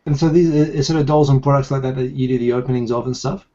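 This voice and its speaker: Halting man with a softened New Zealand accent. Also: medium pitch, fairly even intonation, muffled recording. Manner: halting, many restarts and repeated words. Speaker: live show host. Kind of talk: casual live video chat. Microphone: video call.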